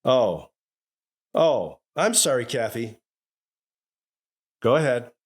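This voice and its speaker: A man's voice: mid-range voice, sing-song, slow pace. An actor playing a sarcastic middle-aged father in a radio sitcom, grumbling and exasperated. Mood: wry, impatient. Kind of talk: 1950s radio sitcom acting.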